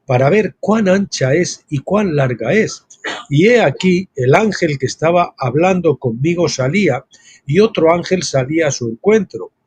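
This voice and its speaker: A man's voice: a little high, a little mellow, slightly rough, dry recording. A man reading a Bible study book aloud and breaking off into casual asides of his own, like a teacher. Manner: reads text aloud, then breaks off with informal asides.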